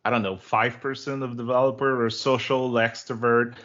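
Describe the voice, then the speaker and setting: A man's voice: medium pitch, level tone, moderate pace, a bit bright, lo-fi sound. A man in his forties from Quebec, a native French speaker with a Quebec French accent, giving chatty, informal interview answers. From Quebec, Canada. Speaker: interview guest, developer relations director.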